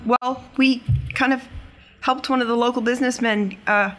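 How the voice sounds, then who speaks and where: A woman's voice: on the high side, fairly even intonation, moderate pace, fairly bright tone, slight background noise, natural voice. A woman voicing a sheepish, nervous youngster who explains things in a hesitant, rambling, evasive way.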